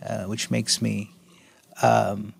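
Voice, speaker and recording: A man in his sixties from India, a guest in an interview, speaking in an articulate, measured way with frequent ums and restarts. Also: fairly low pitch, little pitch movement, gravelly, slightly rough, no room sound. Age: 60s. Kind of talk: interview conversation.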